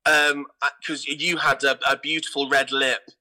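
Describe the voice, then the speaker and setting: A man's voice: medium pitch, level tone, normal speed, pushed and bright, poor audio. A British man chatting enthusiastically and casually as a theatre director being interviewed on a podcast.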